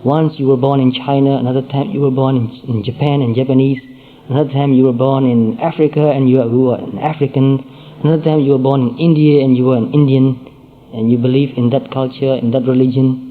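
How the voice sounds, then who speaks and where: A man teaching Buddhist dharma at a mid pitch, unhurried and measured. He builds his points through repeated phrases and pauses. The sound is thin and phone-like. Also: level tone. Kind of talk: dharma talk.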